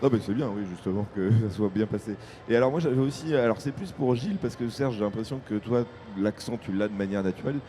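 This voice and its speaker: Parisian man interviewing, low mellow voice. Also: quick pace, hardly any pauses, slight background noise. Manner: friendly, hesitant, laughs easily. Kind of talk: casual interview. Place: outdoors at a fan convention.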